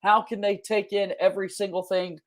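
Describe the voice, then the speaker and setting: A man's voice: high-pitched, little pitch movement, brisk. A man hosting a sports podcast, speaking in a conversational presenter's style. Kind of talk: conversational interviewing and scripted sponsor reads.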